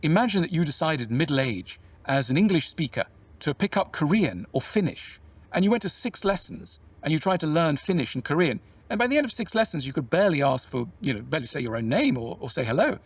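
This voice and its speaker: A man talking in a measured, explanatory way about relationships, often posing questions to the listener. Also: fairly high pitch, sing-song, breathy edge, distant mic. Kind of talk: reflective conversational talk.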